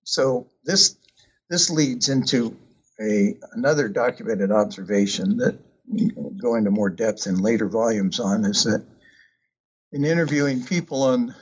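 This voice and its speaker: A man talking about his book in a casual, hesitant way, with many ums and uhs and frequent pauses. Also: mid-range voice, husky. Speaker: author discussing his book. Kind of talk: casual conversational talk. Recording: dull lo-fi sound.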